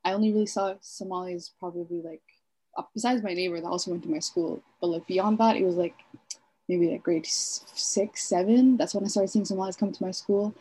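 A woman's voice: fairly low pitch, fairly even intonation, brisk, mellow, husky, low-quality recording. Chatty young woman podcast co-host. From Kenya. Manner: chatty, laughs often, many "like" fillers. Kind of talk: casual chat.

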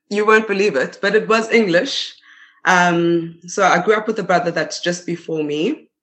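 A young woman with a South African accent talks in a relaxed interview. Her voice is bright and lively, and she laughs often and fills pauses with casual chatter. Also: fairly low pitch. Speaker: interview guest.

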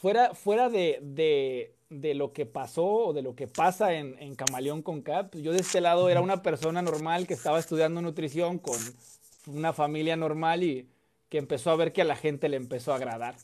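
A man from Mexico with a Mexican accent, a guest telling relaxed, chatty stories with many fillers and repeated words. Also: fairly high pitch, normal speed, a little hiss or hum. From Mexico (Coahuila). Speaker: guest, content creator. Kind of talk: casual storytelling chat.

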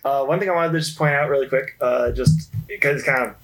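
A man's voice: mid-range voice, fast talker, creaky, fairly bright tone. A man chatting casually and jokingly as a panelist on a podcast.

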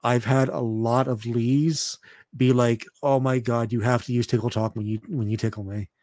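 A man in his thirties chatting casually as a podcast host, halting and often repeating words as he goes. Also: fairly even intonation, a little mellow, no room sound, studio quality. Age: 30s. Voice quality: deep voice. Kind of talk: casual chat.

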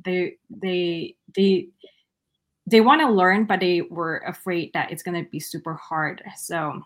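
A woman's voice: on the low side, unhurried. A woman from Malaysia, a guest answering interview questions in a hesitant way with many restarts.

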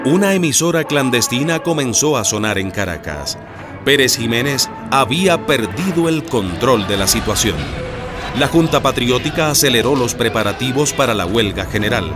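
A male narrator of a historical radio drama. His voice is mid-pitched and he reads from a script. Music runs clearly behind him. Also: expressive, moderate pace.

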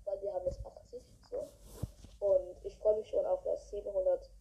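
A woman's voice: mid-range voice, flat delivery, normal speed, mellow, husky. A woman hosting a podcast in a casual, rambling way with many fillers. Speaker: podcast host. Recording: dull lo-fi recording.

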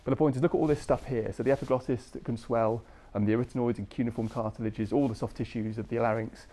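A British man lectures at a brisk pace in a soft, mellow voice. He teaches informally, chatting through his points with colloquial phrasing and frequent tag questions. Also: mid-range voice, steady tone. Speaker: medical lecturer, anaesthesia registrar.

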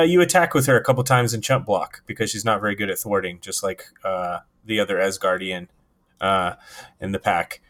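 Low-voiced man explaining card combos. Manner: relaxed, explanatory, many fillers. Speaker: podcast co-host. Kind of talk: casual card-game discussion.

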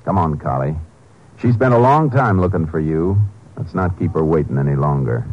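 A man's voice: rumbling low, animated, normal speed, heavy background noise. A man playing a stern, commanding Texas Ranger with a clipped, dry delivery in a 1950s radio drama. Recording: dull 1950s radio recording.

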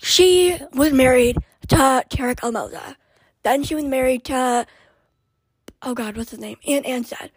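A young woman with a high, raspy voice hosts a casual podcast. She talks in a loose, scattered way, often slipping into whispered asides. The sound is dry and close. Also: a little slow, darkish tone, dry recording. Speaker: podcast host. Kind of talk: casual rambling chat.

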